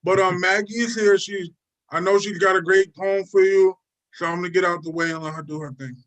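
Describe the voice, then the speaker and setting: Man host, high bright voice, rambling intro. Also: steady tone, brisk, low-quality recording. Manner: rambling, full of fillers. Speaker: open mic host. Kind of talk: casual introduction.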